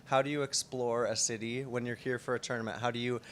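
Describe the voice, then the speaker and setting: A man's voice: medium pitch, flat delivery, quick pace, a little hiss or hum. A man interviewing a tennis player in an upbeat way, chuckling often between his questions. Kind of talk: sports interview.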